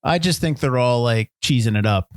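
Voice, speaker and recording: A man's voice: medium pitch, moderate pace, no echo, studio quality. A man in his forties co-hosting a film podcast in casual chat, joking and acting out scenes in silly voices. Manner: jokey, animated, acts out scenes in silly voices. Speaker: podcast co-host.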